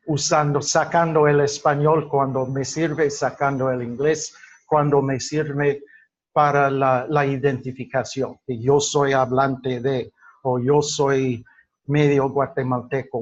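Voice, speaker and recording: A man lecturing a class at a very slow, deliberate pace, pausing often with fillers and restarting his words. His first language is English. The recording sounds dull and lo-fi. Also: medium pitch. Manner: halting, many fillers and restarts. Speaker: teacher.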